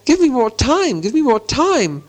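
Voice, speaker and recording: A man's voice: sits high, very animated, brisk, voice pushed up, full character voice. Man playing plucky, nervous little hobbit, Bilbo. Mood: panicked. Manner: loud, urgent, plucky. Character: small male hobbit, nervous but putting on boldness. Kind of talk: storybook character voice.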